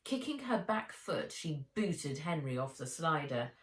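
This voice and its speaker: A woman reading a children's adventure story aloud with dramatic, deliberate delivery. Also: low voice, sing-song. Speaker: author reading her own book aloud. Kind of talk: expressive children's audiobook narration. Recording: roomy echo, distant from mic.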